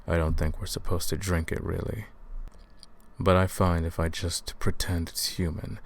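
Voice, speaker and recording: A man voicing a guilt-ridden, centuries-old vampire hunter in a slow, hushed, somber roleplay monologue. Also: low-pitched, flat delivery. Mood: somber, weary. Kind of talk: scripted ASMR roleplay monologue.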